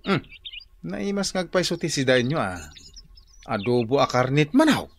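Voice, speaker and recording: A man's voice: medium pitch, very animated, moderate pace, a little hiss or hum. A man playing a lazy, whiny husband who makes hesitant excuses in a comedy radio drama. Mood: casual.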